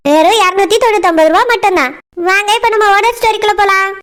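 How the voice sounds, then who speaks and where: Lady with really high voice, quick chatty channel ad. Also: fairly bright tone. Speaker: channel presenter doing an ad. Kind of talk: chatty product promotion.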